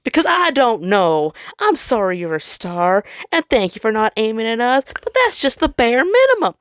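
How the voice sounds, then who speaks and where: A woman's voice: a little high, very animated, moderate pace, clear, resonant tone, dry recording, studio quality, voice pushed up. A woman voicing a hot-headed but apologetic male planet, murmuring and defensive, in a story reading. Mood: fed up.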